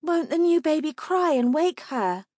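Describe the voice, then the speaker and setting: Woman playing a high-voiced, curious little girl. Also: lively intonation, unhurried, a little mellow, no room sound.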